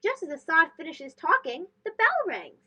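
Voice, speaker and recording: High-pitched, animated woman reading to children. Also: moderate pace. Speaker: storybook reader, host. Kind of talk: expressive children's read-aloud narration.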